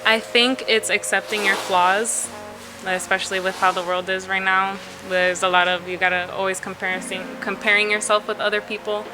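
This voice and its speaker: A young woman from Florida, a photographer answering interview questions in a relaxed, chatty way with lots of fillers. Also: medium pitch, moderate pace, over music, rough recording. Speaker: photographer guest.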